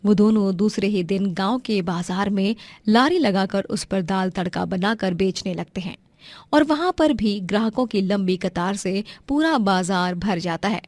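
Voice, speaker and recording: Woman reading a moral story, scripted narration, mid pitch, no room sound at all. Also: a little slow, darkish tone, no room sound.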